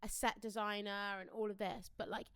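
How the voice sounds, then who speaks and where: A woman's voice: medium pitch, little pitch movement, normal speed, a bit bright, clear, resonant tone, no echo. British woman on a podcast, casual chat, mid voice, dry close recording. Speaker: podcast co-host. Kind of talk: casual pop culture chat.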